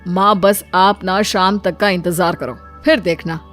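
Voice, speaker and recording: A woman voicing a calm, practical, caring husband in a cartoon moral story. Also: lowish voice, a little slow, faint music behind. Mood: reassuring.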